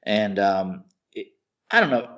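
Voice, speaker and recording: A man co-hosting a podcast, chatting casually and enthusiastically, halting and searching for words as he rambles. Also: lowish voice, sing-song, fairly bright tone. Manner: informal, enthusiastic. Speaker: podcast co-host. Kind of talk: casual chat about films and TV shows. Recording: slightly dull, lo-fi podcast audio.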